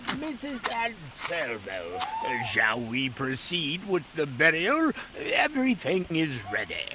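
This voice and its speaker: A man's voice: on the high side, huge pitch swings, slow, heavily acted. A man playing a nervous, polite burial attendant in 1940s radio drama style. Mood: deferential. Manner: hesitant, polite. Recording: dull, clearly noisy live recording.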